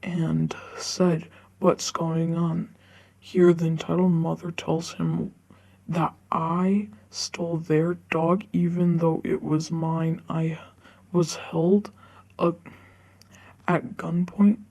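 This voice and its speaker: Woman reading internet stories out loud, kinda monotone n gravelly, keeps tripping on words. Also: fairly low pitch. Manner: halting, stumbles over words. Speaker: narrator reading online stories for a video channel. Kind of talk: halting read-aloud of forum stories.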